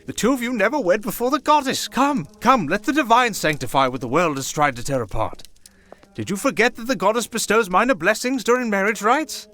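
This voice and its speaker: Man playing a kindly old priest, lively higher pitch. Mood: genial, ceremonious. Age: older. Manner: unhurried, avuncular, ceremonious phrasing. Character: kindly, wry old high priest, male, fatherly and devout. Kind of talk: ASMR fantasy audio roleplay.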